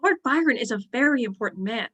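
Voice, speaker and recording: An earnest, placating poet lover of a period stage drama played by a woman. The voice is fairly high and sharply bright, recorded dry. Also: expressive, quick pace, slightly rough, no echo, dull audio.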